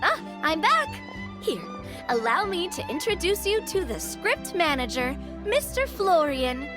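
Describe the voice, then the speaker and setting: A woman's voice: extremely high pitch, sing-song, unhurried, full character voice. A woman voicing a bubbly, friendly young lady in a video game, eager and exclamatory. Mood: cheerful. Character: bubbly, energetic young female organizer, friendly. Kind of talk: video game character dialogue. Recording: music playing behind the voice.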